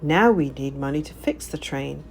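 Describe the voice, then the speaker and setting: A woman's voice: low voice, lively intonation, normal speed, creaky, a little hiss or hum, voice pushed down. A woman voicing an earnest male train owner in a children's storybook, formal and explanatory.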